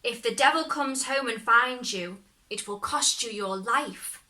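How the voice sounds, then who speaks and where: Woman as a high-pitched, fussing old grandmother. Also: unhurried, sharp tone. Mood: pitying, advising. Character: devil's old grandmother, scolding yet pitying.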